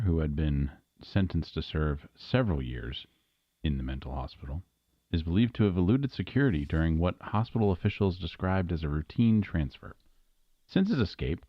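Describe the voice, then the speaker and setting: A man playing a grave local news anchor, reading a scripted bulletin in a formal, measured way. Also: deep voice, no echo, muffled recording.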